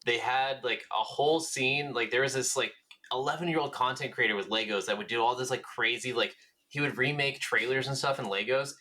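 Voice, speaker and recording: Bright-voiced man co-hosting a casual podcast. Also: mid-range voice, brisk. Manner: slangy, uses filler "like" and acts out quotes. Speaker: podcast co-host. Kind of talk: casual chat.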